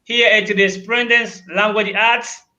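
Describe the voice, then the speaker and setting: High, bright-voiced Tanzanian man teaching. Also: little pitch movement, unhurried. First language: Swahili and Luguru. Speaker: language professor. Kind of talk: language lesson introduction.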